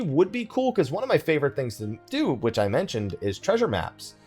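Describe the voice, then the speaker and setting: A man giving casual let's play commentary as a video creator, rambling and thinking aloud, often sidetracking himself. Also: mid-range voice. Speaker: gaming video creator and live streamer.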